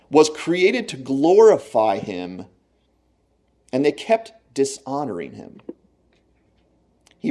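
A man giving a sermon with a mellow, warm voice. He talks to the congregation in an earnest, conversational way and slows right down to stress the key phrases. Now and then he chuckles at his own jokes. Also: mid-range voice. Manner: earnest, conversational preaching with slow emphatic phrases. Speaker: pastor.

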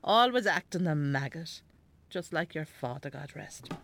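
A woman's voice: low-pitched, lively intonation, moderate pace, dry recording. An actress playing a sick, elderly Irish mother in a radio drama, demanding and teasing, with a plaintive, contrary manner. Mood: nagging, demanding. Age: older. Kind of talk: naturalistic radio drama acting.

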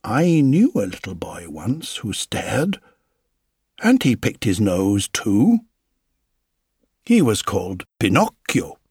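A man voicing a doting old woodcarver father in an audiobook, fond and emphatic, with a breathy, husky tone. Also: on the low side, wildly swooping pitch, slow, pauses often, a little mellow, no echo, studio quality, full character voice. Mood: fond, instructive. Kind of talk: audiobook character voice.

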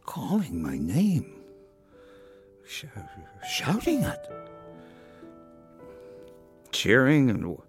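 An actor playing an emotional war veteran in his eighties, halting and gravelly, in an audio drama monologue. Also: medium pitch, wildly swooping pitch, full character voice. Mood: overcome, proud. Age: 80s. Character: 87-year-old war veteran, tearfully remembering his homecoming. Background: guitar music.

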